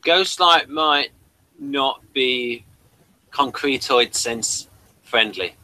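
A man co-hosting a casual chat, chiming in with quick, brief remarks. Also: mid-range voice, pushed and bright, low-quality recording. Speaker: podcast co-host.

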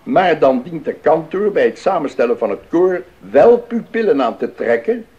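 Pompous, animated male councillor. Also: a little high, normal speed, highs cut off. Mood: stern, officious. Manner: pompous, formal, emphatic. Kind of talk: 1980s Dutch radio play acting.

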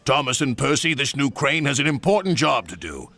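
A man's voice: medium pitch, very animated, a little fast, pushed and bright, soft background music, pitched above the performer's own voice. Stern railway boss acted by a man. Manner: stern, formal.

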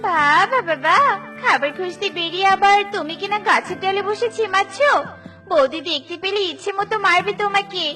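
A woman's voice: very high-pitched, normal speed. A woman voicing a small young female bird in a children's cartoon, chirpy, caring and full of earnest questions, with music behind her. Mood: chirpy, concerned. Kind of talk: Bengali children's cartoon dialogue.

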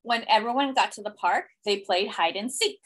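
A woman in her thirties reading a children's picture book aloud expressively, with deliberate, simple phrasing and emphasis. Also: mid-range voice, fairly bright tone. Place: small indoor room.

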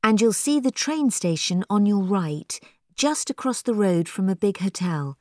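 A British woman voicing a receptionist and direction-giver in a language-course recording, clear and careful. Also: medium pitch, animated, a little mellow, no echo, clean studio sound, lightly acted. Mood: helpful. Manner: clear, careful, measured delivery. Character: helpful woman giving directions, hotel receptionist. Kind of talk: scripted course-audio dialogue. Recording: language-course audio played on a call.

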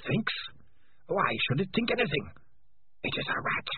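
A man voicing a cruel, scheming male rat king in a storybook reading, with a raspy, hissing, menacing voice that swings from whispers to rage and sounds filtered. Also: sits high, sing-song, normal speed, dry recording, full character voice. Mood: cold. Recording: dry, tinny filtered voice.

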